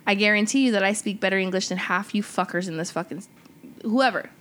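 Brief: young woman co-host, outspoken and crude, hits her words hard in relaxed podcast talk. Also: mid-range voice, fast talker. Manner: blunt, profane, emphatic. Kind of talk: casual podcast chat.

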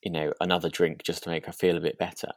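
Guy co-hosting a podcast, deep voice, casual n a bit halting, dry audio. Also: little pitch movement, no echo. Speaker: podcast co-host. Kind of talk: casual chat.

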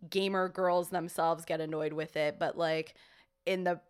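A woman's voice: lowish voice, flat delivery, a little slow, smooth. A young woman chatting casually as a podcast guest, rambling with lots of fillers and restarts. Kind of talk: casual chat.

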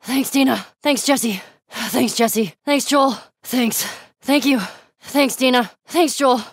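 A woman's voice: high voice, takes it slowly, no echo, studio quality, heavily acted. An actress playing a tough, sardonic young American woman survivor in a video game, naturalistic and clipped, often speaking under her breath. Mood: bright, playful.

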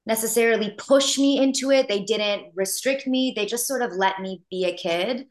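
A young woman in her twenties with an American accent, an actress guest answering in a relaxed, earnest way. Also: on the high side, moderate pace. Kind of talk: casual interview answers.

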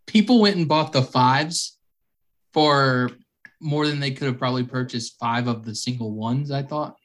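A man co-hosting a casual podcast, relaxed and joking as he chats. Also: medium pitch, moderate pace. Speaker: podcast co-host. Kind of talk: casual chat.

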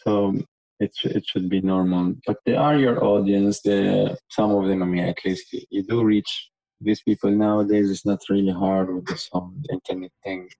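A fairly low-pitched young man talks things through in a thoughtful, earnest way, tagging his sentences with "you know". Faint music sits quietly behind his voice. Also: no echo, low-quality recording. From Palestine. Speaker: musician guest. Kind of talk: reflective interview answers.

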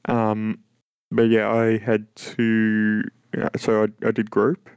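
A young man with a very deep voice talks slowly and hesitantly, restarting his sentences as he chats on a podcast. The recording is dry and close, with little room sound. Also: monotone, a little mellow, smooth, no echo. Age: young adult. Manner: halting, hesitant, many restarts. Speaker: podcast co-host. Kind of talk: casual chat.

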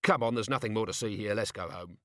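A man's voice: fairly low pitch, fast talker, bright, forceful tone, no echo, clean studio sound. A man voicing a weary, irritable police commander with an exasperated, sardonic manner in a storybook reading. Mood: tired.

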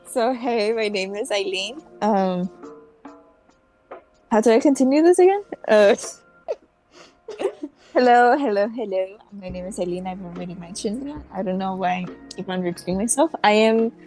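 A sarcastic teenage girl from Mexico, co-hosting a casual chat podcast. She talks at a middling pitch, with faint music underneath. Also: expressive, unhurried, a little mellow. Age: teens. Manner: sarcastic, dry. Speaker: podcast co-host.

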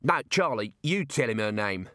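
A man's voice: mid-range voice, sing-song, normal speed, fairly bright tone, dry recording, studio quality. A man voicing a proud, matey chef in an audiobook story, bluff and cheery. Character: proud, beaming, matey male opera-house chef.

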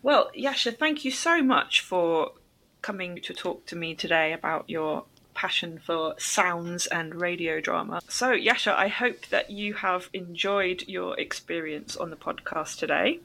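A woman's voice: on the low side, unhurried, gravelly, bright, forceful tone, rough recording. A woman hosting an English-learning podcast, chatting with a guest in an encouraging, conversational way. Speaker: podcast host and English teacher.

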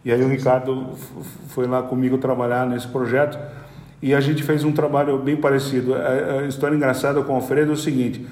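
A man's voice: mid-range voice, little pitch movement, brisk, darkish tone. A middle-aged man with a Brazilian Portuguese accent, a podcast guest who tells business stories conversationally and often stumbles and repeats words as he explains. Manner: hesitant, repeats words while explaining at length. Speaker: podcast guest, company executive. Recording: roomy echoey room.

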